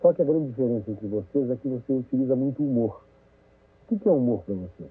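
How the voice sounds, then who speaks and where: A man with a Brazilian Portuguese accent, asking interview questions in a brisk, direct way. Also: medium pitch, expressive. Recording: lo-fi 1980s TV broadcast with phone-like band.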